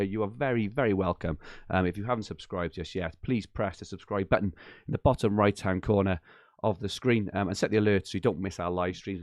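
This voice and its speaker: A man hosting a live fantasy football podcast from his attic, chatty and enthusiastic with lots of fillers, his voice a little croaky from a late night. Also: low voice, dry recording. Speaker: podcast host. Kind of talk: live-stream podcast chat. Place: home attic.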